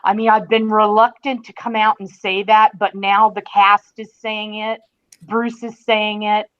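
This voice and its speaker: A woman's voice: medium pitch, little pitch movement, measured pace, clean tone. Dull lo-fi recording of an emphatic woman. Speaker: guest TV critic on a fan podcast. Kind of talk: casual podcast discussion. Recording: dull, lo-fi call audio.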